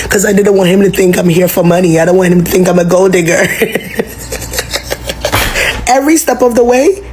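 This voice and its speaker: A woman's voice: lowish voice, darkish tone, a little hiss or hum. Ghanaian woman with a sore throat, mocking. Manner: teasing, incredulous, mocking. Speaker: commentator. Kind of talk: reaction commentary video.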